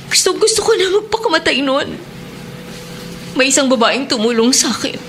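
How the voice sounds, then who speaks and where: A woman's voice: high voice, big pitch swings, moderate pace, a bit bright, slight background noise, heavily acted. An actress playing a wounded, bitter abandoned mother in a Filipino radio drama, emotional and reproachful. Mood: pained. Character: wounded, bitter woman, abandoned mother seeking her child.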